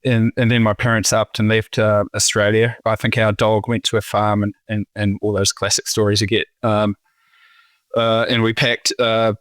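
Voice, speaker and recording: A New Zealand man in his forties, a winemaker talking casually in an interview, halting with many fillers and restarts. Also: lowish voice, flat delivery, a bit bright, no room sound. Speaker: winemaker guest.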